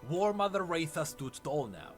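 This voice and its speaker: A man's voice: on the high side, sing-song, moderate pace. A man reading scripted lore narration in a dramatic storytelling manner. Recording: music under the narration. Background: background music.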